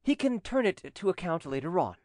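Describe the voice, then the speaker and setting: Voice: mid-range voice, sing-song, a little fast, a little mellow, no echo, studio quality, pitched above the performer's own voice, lightly acted. A narrator playing a boastful, breezy older gallant, a commander with a flamboyant manner, in a storybook. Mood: smug.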